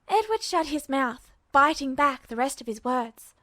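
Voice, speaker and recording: A woman reading fan fiction aloud as a podfic, with even, attentive storytelling narration. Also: high voice, measured pace, dry recording, clean studio sound. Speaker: podfic reader.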